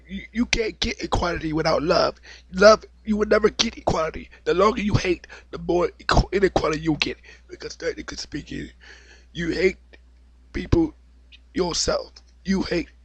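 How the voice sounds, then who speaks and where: Husky-voiced man with a cold. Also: high voice, no echo. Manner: opinionated, preachy, repeats himself. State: has a cold, slightly raspy. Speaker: video host. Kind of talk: unscripted monologue vlog.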